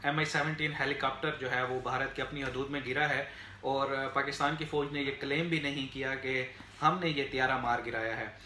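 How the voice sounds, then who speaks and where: A man with a Pakistani accent giving unscripted political commentary to viewers in a halting, explanatory way, with frequent filler phrases. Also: medium pitch, pushed and bright. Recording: echoey room, faint background noise.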